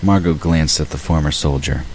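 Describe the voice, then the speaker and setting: Very deep-voiced male storyteller. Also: fairly even intonation, normal speed, darkish tone, a little hiss or hum. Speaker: narrator. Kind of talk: audiobook narration of a fantasy drama.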